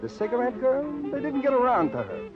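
An actor playing a wisecracking American nightclub owner in an old radio crime drama, sardonic, showy and slangy. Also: male voice, extremely high pitch, wildly swooping pitch, fast, heavily acted. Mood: breezy, mocking. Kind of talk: old-time radio hardboiled character acting. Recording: dull vintage radio, music behind.

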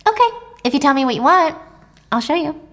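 A woman's voice: sits high, animated, a little fast, fairly bright tone, voice pushed up. A woman voicing a nosy, eager, stubborn female neighbor in a drama recap, inquisitive and bargaining.

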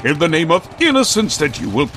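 A man's voice: a little high, very animated, a little fast, a bit bright, soft background music. A man playing a grandiose, righteous caped vigilante in old-time radio drama style, with pompous, melodramatic proclamations. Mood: righteous fury.

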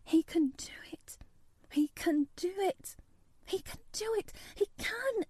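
A woman's voice: very high voice, lively intonation, takes it slowly, warm tone, no echo, whispered, voice pushed up, full character voice. A woman voicing an obstinate, indignant young girl who protests emphatically in a storybook. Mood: urgent muttering.

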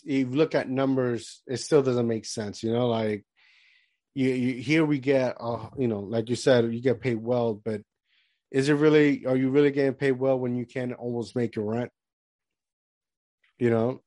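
A man in his thirties who grew up in Puerto Rico talks in a loose, rambling way, stopping and restarting his sentences with lots of fillers. His voice sits at an ordinary pitch. It is recorded close and dry, with no room sound. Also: fairly even intonation, moderate pace, dark tone, no room sound. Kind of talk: casual chat.

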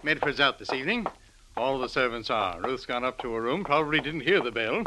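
A man's voice: medium pitch, brisk, noisy background, natural voice. An actor playing a stern, condescending, wealthy older man, formal and righteous, in 1940s radio drama style. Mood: cordial.

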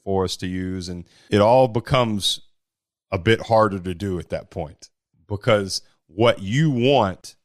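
A man with a big, deep voice, talking bluntly about running a startup in a casual podcast conversation. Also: measured pace, dry recording, clean studio sound. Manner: blunt and forceful, with mild swearing. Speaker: startup founder.

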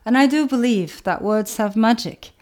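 A middle-aged woman novelist, Turkish as her first language, speaking in a measured, earnest way, repeating phrases for emphasis. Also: on the high side, darkish tone. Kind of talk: reflective talk to camera.

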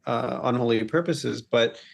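Male podcast co-host, average pitch, informal questioning style, wordy and hesitant phrasing. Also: unhurried, vocal fry. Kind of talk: casual conversational questioning.

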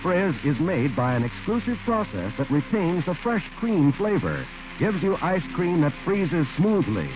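A man's voice: on the high side, very animated, a little slow. An American man delivering a scripted 1940s radio advertisement as a commercial announcer. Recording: muffled old radio recording with music.

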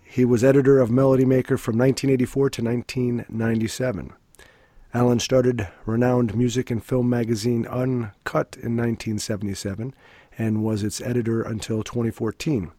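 A man's voice: medium pitch, little pitch movement, dark tone, no echo. Stuffy-voiced male podcast host. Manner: reads guest background in a measured, formal way. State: stuffy from a cold. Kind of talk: hosting a music interview podcast.

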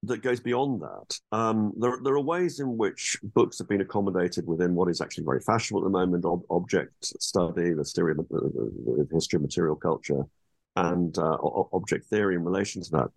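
A middle-aged man with a low, gravelly, slightly husky voice talks through ideas in a thoughtful, halting way, often stopping to restart a phrase. The sound is dry and close, with no room around it. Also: darkish tone, no room sound. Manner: hesitant, many restarts and fillers, reflective. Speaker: author guest. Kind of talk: academic interview over a call.

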